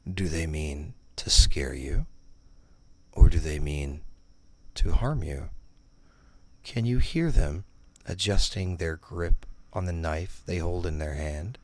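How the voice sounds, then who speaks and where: A man with a very low voice leads a mock guided meditation at a slow, drawn-out pace. His delivery is deadpan and condescending, yet his pitch swings widely as he gives each instruction. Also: no echo. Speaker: narrator of a parody guided meditation. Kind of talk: scripted parody guided meditation narration.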